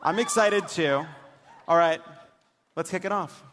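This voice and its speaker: A man's voice: a little high, measured pace, fairly bright tone. A man hosting a live comedy political show on stage, with a wry, emphatic delivery. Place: on stage in a large theater. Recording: big reverberant theater, some noise.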